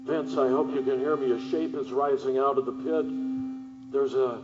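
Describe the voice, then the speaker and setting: A man's voice: medium pitch, fairly even intonation, moderate pace, loud music behind the voice, lightly acted. A man acting as a panicky, stammering field reporter at an alien attack in a radio drama. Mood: terrified. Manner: breathless, stammering, repeats himself. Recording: dull reverberant lo-fi audio.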